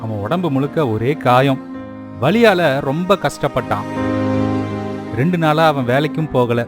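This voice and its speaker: A man's voice: mid-range voice, lively intonation, measured pace, loud music behind the voice. A man reading scripted narration for a children's moral story.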